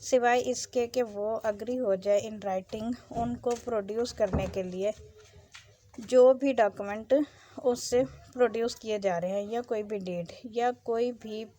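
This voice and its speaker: A woman's voice: a little high, slow pace, mellow, a little hiss or hum. A woman with a Pakistani accent giving an explanatory law lecture, as a teacher going through legal text.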